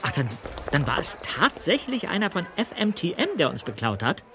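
A man's voice: high voice, very animated, moderate pace, a bit bright, full character voice. An actor playing a matter-of-fact, knowing teenage boy detective in an audio drama. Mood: knowing, casual. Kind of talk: German audio drama acting.

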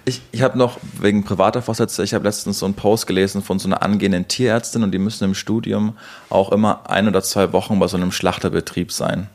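A man's voice: on the low side, fairly even intonation, quick pace, darkish tone. A man hosting a podcast in a casual, conversational way. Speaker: podcast host.

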